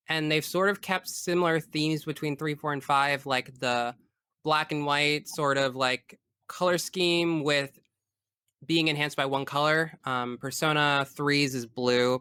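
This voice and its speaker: A man's voice: medium pitch, pushed and bright, clear, resonant tone, no echo, studio quality. A man speaking as a podcast guest, chatting casually about video games in a frank, geeky way.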